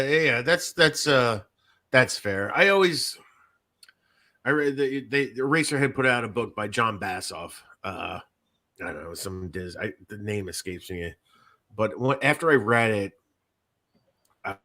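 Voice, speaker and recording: A man's voice: mid-range voice, bright, forceful tone. A man hosting a podcast in casual chat, rambling and repeating himself, with some swearing. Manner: rambling, repetitive, frequently swearing. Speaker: podcast host.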